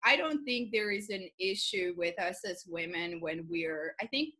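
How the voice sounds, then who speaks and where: A woman hosting a podcast, chatting casually and telling things in an animated, candid way. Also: lowish voice, unhurried. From Albania. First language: Albanian. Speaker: podcast host. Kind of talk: casual conversational interview.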